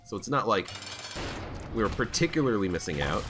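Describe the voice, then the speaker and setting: A man with a stuffed-up, hoarse voice, chatting casually as he plays a video game. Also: lowish voice, big pitch swings, a little fast. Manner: chatty, thinking aloud while playing. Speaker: gaming channel host. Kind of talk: casual let's play commentary. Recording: background game music.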